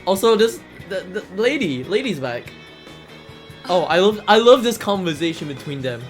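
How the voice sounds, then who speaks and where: Someone doing casual speedrun commentary while playing, excitable and prone to playful exclamations and acted-out reactions. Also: medium pitch, moderate pace, faint music behind.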